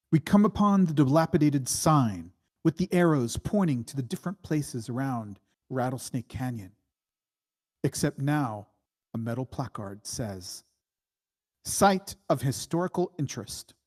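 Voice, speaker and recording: A man narrating a screenplay's stage directions at a table read, with deliberate, dramatic phrasing. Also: mid-range voice, a little mellow.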